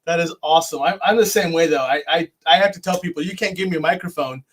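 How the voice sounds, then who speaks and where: A man's voice: a little high, quick pace, breathy edge. A man hosting an online talk show, closing out an interview with friendly thanks and frequent repeated words. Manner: warm-hearted, repeats words, says "brother". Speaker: show host. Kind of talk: casual interview chat.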